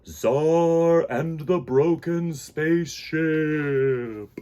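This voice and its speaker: A man's voice: on the high side, drawn-out words, warm tone, clean tone. A man hosting storytime, reading a picture book aloud in a dramatic, playful, emphatic way for children. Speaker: children's storytime host and reader.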